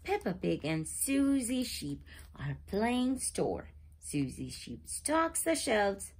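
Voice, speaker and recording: Slow expressive woman reading a picture book. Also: medium pitch, breathy edge. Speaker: children's book reader. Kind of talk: read-aloud narration.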